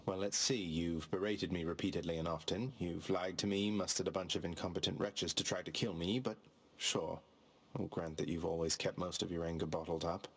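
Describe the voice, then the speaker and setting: An actor playing a cocky, rebellious young god in a video game, with a wry, sardonic, casually polite manner. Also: male voice, deep voice, steady tone, fairly quick, a bit bright, slightly rough, heavily acted. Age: young adult.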